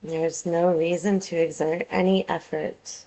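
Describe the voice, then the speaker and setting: A woman teaching yoga nidra, guiding a meditation in a calm, soothing way with measured phrases and pauses. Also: fairly low pitch, poor audio. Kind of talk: guided meditation, soothing instruction.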